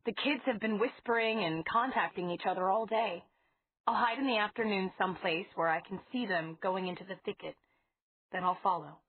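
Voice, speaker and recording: A woman reading aloud, voicing an earnest young man who speaks firmly and quickly. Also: medium pitch, husky, natural voice. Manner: firm, quick. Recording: tinny phone-like sound.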